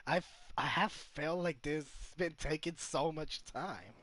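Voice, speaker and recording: A man giving casual game commentary as a streamer, halting and musing aloud. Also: on the high side, no room sound. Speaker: gaming streamer. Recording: loud game music behind.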